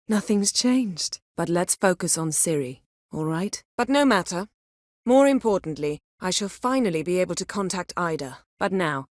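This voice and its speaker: Proud game sorceress, kinda low voice, crisp imperious phrasing, wry and composed, very dry recording. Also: female voice, no echo. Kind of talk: video game character dialogue.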